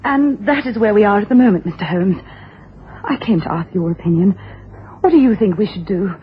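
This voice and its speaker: A woman's voice: medium pitch, expressive, fairly quick, natural voice. Woman playing earnest lady, anxious, polite, insistent, radio drama, dull broadcast, light hiss. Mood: anxious, questioning. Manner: polite, eager, formal. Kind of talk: 1980s radio drama acting. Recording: dull old radio broadcast, faint noise.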